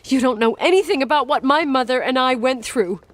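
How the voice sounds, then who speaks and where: A woman's voice: sits high, animated, fairly quick. A woman playing a strong-willed, hurting young woman in dramatic game dialogue, emotionally direct, with some noise behind her. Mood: defiant, accusing. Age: young adult.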